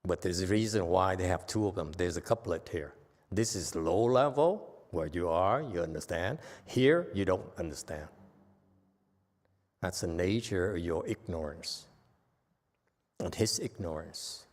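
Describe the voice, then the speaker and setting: A man's voice: low voice, measured pace, darkish tone. A man with a Vietnamese accent giving a Buddhist dharma lecture in a temple hall, blunt and teasing, often checking in with "okay?". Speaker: Buddhist teacher.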